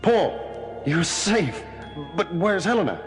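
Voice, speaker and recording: An actor playing an urgent, commanding moonbase commander in a 1970s audio drama. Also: male voice, high-pitched, sing-song, slow pace, exaggerated voice. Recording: music under the voice.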